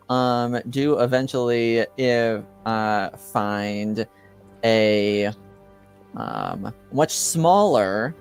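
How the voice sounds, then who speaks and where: Slow, hesitant man with a smooth voice. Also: lowish voice. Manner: hesitant, halting delivery. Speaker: game master. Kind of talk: running a tabletop roleplaying game.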